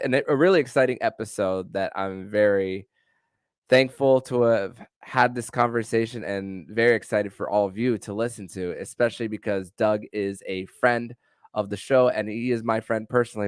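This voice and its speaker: A man's voice: on the low side, smooth, no echo, studio quality. A man hosting a sports podcast, talking with energy and often restarting his sentences. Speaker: podcast host.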